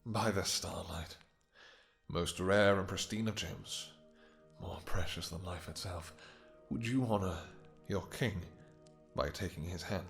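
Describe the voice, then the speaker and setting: A man playing a possessive, lovestruck vampire king in a romantic fantasy audio roleplay, speaking in formal courtly phrases with halting pauses. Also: low-pitched, gravelly, soft background music. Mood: solemn, courtly.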